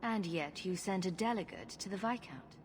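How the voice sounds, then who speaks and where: A woman's voice: mid-range voice, expressive, normal speed, a little hiss or hum, natural voice. A woman playing a wry, caring and self-assured heroine in a video game, with a dry delivery. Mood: wry, firm.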